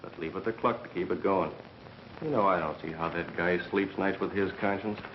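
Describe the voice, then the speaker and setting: An actor playing a dry, downbeat radio announcer in a 1930s film. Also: male voice, sits low, moderate pace, natural voice. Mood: dry, sardonic. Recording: muffled, noisy 1930s film soundtrack.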